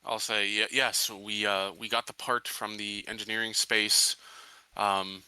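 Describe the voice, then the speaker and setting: A man playing a tabletop roleplaying game, improvising his character's words casually and haltingly as he thinks aloud. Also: on the low side, level tone, pushed and bright, clear, resonant tone, no room sound, poor audio.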